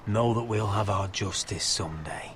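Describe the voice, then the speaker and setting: A man playing a steady young lord and loyal friend in a fantasy video game, speaking gently and earnestly with restraint. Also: fairly low pitch, normal speed, slight background noise, close to an everyday voice. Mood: gentle, consoling.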